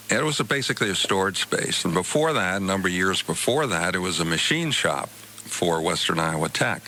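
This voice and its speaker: Older American male interview guest. Low pitch, clear forward edge, measured and halting, faint noise behind the voice. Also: pushed and bright, low-quality recording. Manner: halting, measured, pauses between short phrases. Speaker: interview guest, college department chair and theater director. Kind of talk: radio interview, explaining.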